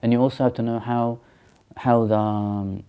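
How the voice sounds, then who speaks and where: A man in his thirties, from Sri Lanka and raised in England, a Buddhist monk answering interview questions thoughtfully, with many pauses and restarts. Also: medium pitch, fairly even intonation, clean tone, muffled recording. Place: small indoor room by a shrine.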